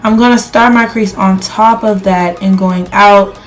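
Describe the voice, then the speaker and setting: A woman's voice: medium pitch, fairly even intonation, a little slow, soft background music. A woman beauty vlogger chatting casually to viewers through a makeup tutorial, her voice slightly crackly as she is losing it while under the weather. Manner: chatty, addresses viewers directly.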